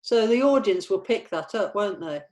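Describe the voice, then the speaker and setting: A woman's voice: mid-range voice, lively intonation, moderate pace, a little mellow. A woman with a mid-range voice talks casually in a group script discussion. She queries details, often tagging a question onto the end of her point. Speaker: participant in a script table read.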